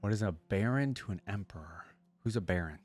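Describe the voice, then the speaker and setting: A man's voice: lowish voice, lively intonation, fairly quick, a little mellow. A man hosting a reaction video, chatting informally and slangily as he muses aloud. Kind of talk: casual chat, reacting to game voice lines.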